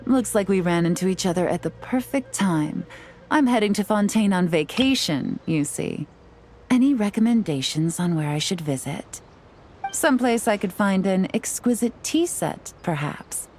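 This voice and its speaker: A woman's voice: mid-range voice, expressive, unhurried, darkish tone, slight background noise, lightly acted. An actress playing a cool, shrewd young woman spy in a video game, with a poised and sly manner. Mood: coy, polite. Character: cool, shrewd young woman intelligence agent, composed and teasing. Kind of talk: anime video game voice acting.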